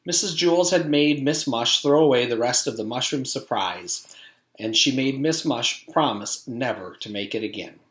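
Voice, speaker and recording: A man's voice: mid-range voice, normal speed, fairly bright tone. Echoey man reading a storybook aloud. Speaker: teacher reading aloud to a class. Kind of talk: storybook read-aloud narration. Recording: echoey room.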